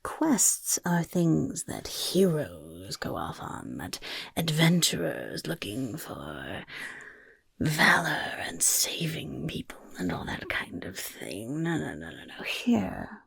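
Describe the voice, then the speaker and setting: A woman voicing a sly, gold-loving female goblin rogue in a whispered ASMR fantasy roleplay, breathy and coy, with rambling asides. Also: sits low, huge pitch swings, takes it slowly, a bit bright, no room sound, voice pushed down, exaggerated voice. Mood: coy, teasing. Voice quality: breathy, husky, crackly whisper. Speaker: roleplay quest-giver for a rogues' league.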